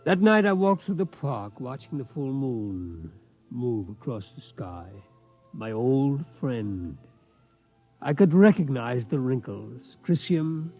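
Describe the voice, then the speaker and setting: An actor playing an obsessed, determined old tycoon in a 1950s radio drama, reminiscing and insistent. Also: male voice, medium pitch. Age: older. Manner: reminiscing, insistent, tags sentences with "hmm?". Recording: dull 1950s radio recording.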